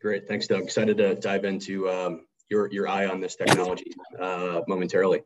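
A man's voice: low-pitched, flat delivery, fairly quick, highs cut off, background noise. A man hosting a webinar, reading a short scripted intro and then moderating with fillers and restarts. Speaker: webinar host.

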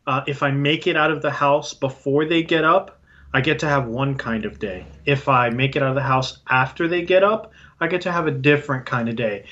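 Man, from Puerto Rico, interview guest, bright tense voice, lots of "uh" and restarts, muffled sound. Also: a little high. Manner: hesitant, many fillers and restarts. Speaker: guest, IT business owner. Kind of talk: casual interview answers. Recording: dull lo-fi sound.

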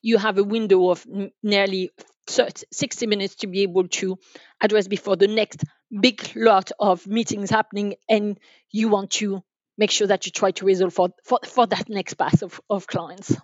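A woman speaking as a gives conversational answers as an interview guest on business matters. She talks haltingly, circling back over words as she thinks. The sound is dry and somewhat dull, like a band-limited online call. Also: medium pitch, fairly even intonation, dry recording. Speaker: podcast guest, enterprise sales manager.

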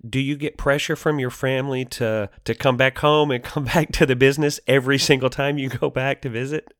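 A man's voice: mid-range voice, steady tone, brisk, a bit bright, no room sound, studio quality. A man hosting a podcast, delivering prepared introductions and interview questions.

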